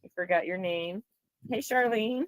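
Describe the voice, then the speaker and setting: A woman with a Chicago accent talks casually, as a guest would on a livestream. Her pitch is middling and the sound is dry, with little room echo. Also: moderate pace. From Illinois, Chicago area.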